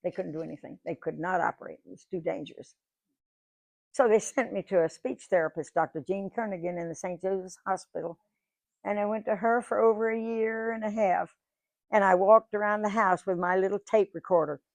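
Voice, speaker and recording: A woman's voice: mid-range voice, fairly quick, vocal fry, no room sound. Old lady in her 90s spinning stories at a museum talk, folksy and chatty, dead dry sound. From Georgia, USA. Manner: folksy, chatty storyteller who acts out quoted dialogue. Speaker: guest speaker. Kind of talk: storytelling to a live audience. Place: museum event room.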